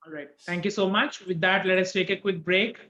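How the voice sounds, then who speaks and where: A man with a fairly high voice speaks as an event host over a video call. His delivery is polite and instructive, and the sound is very roomy and slightly dull. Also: fairly even intonation, fairly quick, pushed and bright. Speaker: contest host. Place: online video call from an echoey room.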